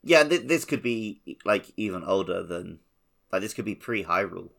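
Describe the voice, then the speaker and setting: A man's voice: low voice, lively intonation, moderate pace, gravelly, fairly bright tone. A man co-hosting a podcast, chatting casually and informally about a video game. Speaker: podcast co-host.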